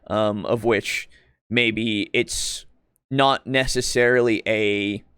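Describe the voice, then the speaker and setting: A man co-hosting a casual discussion stream, halting and wordy as he circles back to qualify his points. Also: fairly low pitch, steady tone, fairly bright tone, smooth, no room sound, studio quality. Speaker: podcast host. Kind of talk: casual discussion, commenting on a video for a live stream.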